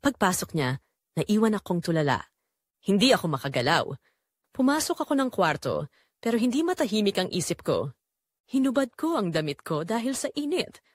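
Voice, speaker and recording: A woman's voice: mid-range voice, very animated, normal speed, dry recording, studio quality. A woman with a Filipino accent reading a scripted first-person story as the narrator of an audio drama.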